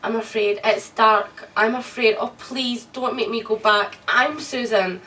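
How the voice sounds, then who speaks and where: A woman's voice: fairly high pitch, steady tone, measured pace, sharp tone, distant mic, pitched below the performer's own voice, poor audio. A woman playing a terrified, trapped woman in a radio drama, pleading in broken, repeated phrases.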